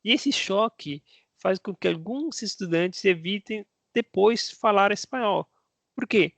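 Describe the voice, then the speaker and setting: A Brazilian-accented man with a somewhat high, gravelly voice talks casually to his viewers as a language teacher. He explains in a chatty way, posing rhetorical questions and checking in with the listener. The sound is close and dry, with no room around it. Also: unhurried, a bit bright, dry recording. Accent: Brazilian Portuguese. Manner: conversational, asks himself rhetorical questions, tags lines with "né?". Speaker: Spanish teacher.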